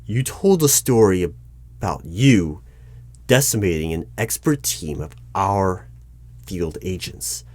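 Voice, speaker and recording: Deep-voiced, slow, unflappable secret-bureau interviewer played by a man, formal and deadpan, for an ASMR roleplay. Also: no room sound, heavily acted. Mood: stern. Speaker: interviewer in a one-sided roleplay. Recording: clear noise with keyboard typing. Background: computer keyboard typing and clicks.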